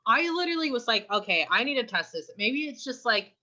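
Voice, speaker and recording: A young woman from California co-hosting a casual podcast, chatty and often clearing her throat. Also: a little high, sing-song, brisk, bright, forceful tone, muffled recording. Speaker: podcast co-host. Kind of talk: casual chat.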